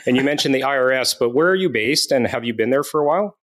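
A man's voice: medium pitch, animated, fast talker. A man with a Canadian accent hosting a podcast, asking questions in a friendly, conversational way. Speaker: podcast host. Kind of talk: casual interview talk.

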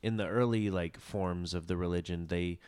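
Laid-back low-voiced male podcast host. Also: fairly even intonation, moderate pace, darkish tone, clean tone. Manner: laid-back, musing, trails off into low mutters. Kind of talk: casual podcast conversation. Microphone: studio podcast mic.

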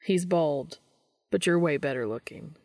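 A woman's voice: low voice, a little slow, darkish tone, dry recording, studio quality. A woman voicing an easygoing, caring young man in an audiobook, casual and joking. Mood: teasing.

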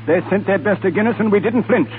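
An actor playing a blunt, menacing hard-line Irish rebel with emphatic, challenging speech in 1930s radio acting style. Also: male voice, high-pitched, fast, slight background noise, close to an everyday voice. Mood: brisk, blunt. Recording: tinny 1930s radio recording.

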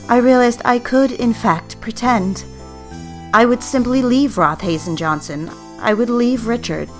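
Woman acting young secretary bride narrating her drama, wistful n confiding, middle pitch, faint music. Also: close to an everyday voice. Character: self-justifying, yearning young secretary bride-to-be. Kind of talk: first-person dramatic narration.

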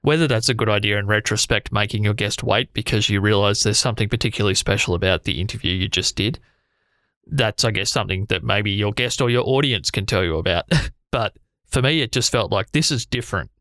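A man's voice: on the low side, brisk, bright, forceful tone, no room sound, studio quality. A man hosting a podcast, chatting enthusiastically and laughing easily as he introduces his guest. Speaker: podcast host. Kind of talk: casual podcast intro and interview.

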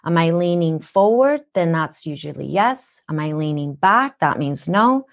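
A woman's voice: sits low, animated, a little slow, vocal fry. A woman from Japan with a Minnesotan accent, speaking as a wellness guest in an interview, earnest and teacherly, asking rhetorical questions. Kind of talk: podcast interview.

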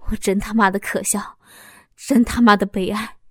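A woman's voice: medium pitch, fairly even intonation, measured pace, warm tone, dry recording, clean studio sound, natural voice. A woman voicing a heartbroken, bitter husband in a storybook reading. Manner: bitter, sneering. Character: heartbroken bitter husband, middle-aged man.

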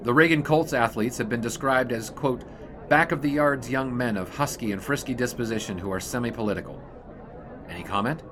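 An actor playing a courteous but persistent sportswriter in a period audio drama, measured and probing. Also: male voice, mid-range voice, a crowd in the background, natural voice. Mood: probing, polite. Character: polite but persistent young male 1920s sportswriter.

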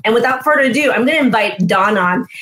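A woman's voice: fairly high pitch, lively intonation, fast, a bit bright, a little hiss or hum. Upbeat woman hosting a health show. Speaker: podcast host and physician.

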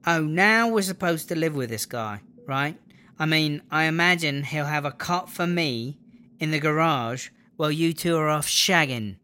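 A woman's voice: low voice, normal speed, bright, forceful tone. A woman with a British accent playing a jealous, bitter, foul-mouthed girlfriend in a comedy audio drama, sarcastic and exasperated. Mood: bitterly sarcastic.

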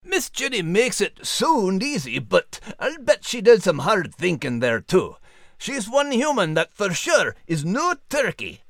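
A man voicing a gruff, grumbling male animal with a Scottish accent and blunt, folksy humor. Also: high voice, huge pitch swings, measured pace, fairly bright tone, no echo, studio quality, exaggerated voice. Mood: friendly, wry.

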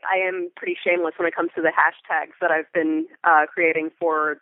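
A woman's voice: deep voice, level tone, brisk, no echo. A middle-aged American woman speaking as an interview guest in articulate, wordy conversation over a phone-like connection. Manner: wordy, articulate phrasing with vivid examples. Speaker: interview guest, theater Foley artist. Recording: band-limited phone-like line.

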